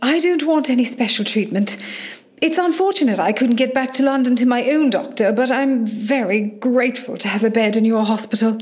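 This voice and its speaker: A woman's voice: fairly high pitch, brisk, darkish tone, close to an everyday voice. An actress playing a polite, genteel female film star as a hospital patient in a radio play, gracious and reserved.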